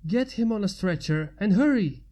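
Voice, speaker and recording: Woman doing male paramedic, commanding, urgent, brisk, storybook drama. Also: medium pitch, animated, warm tone, natural voice.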